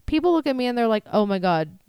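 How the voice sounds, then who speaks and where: A nasal woman in her twenties with a voice low for a woman, co-hosting a podcast with sarcastic, laughing banter. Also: very animated, fast, a little mellow, clean tone, no room sound, clean studio sound. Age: 20s. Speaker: podcast co-host. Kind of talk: crude casual chat.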